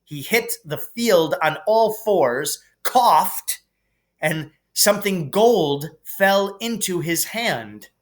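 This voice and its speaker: A man reading a children's novel aloud as expressive narration. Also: high-pitched, extremely slow. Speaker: reader.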